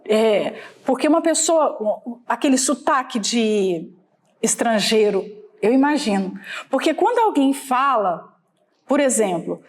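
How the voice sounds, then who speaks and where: A woman's voice: on the high side, very animated, measured pace. A woman from Minas Gerais with a Brazilian mineiro accent, preaching in a conversational sermon style and often checking in with her listeners. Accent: Brazilian Portuguese, Minas Gerais. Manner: conversational, asks the listeners for agreement. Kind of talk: sermon teaching.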